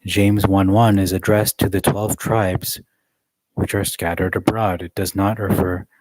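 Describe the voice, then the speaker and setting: A man reading a study text aloud in a measured way, adding occasional joking asides. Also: sits low, little pitch movement, darkish tone, dry recording, poor audio.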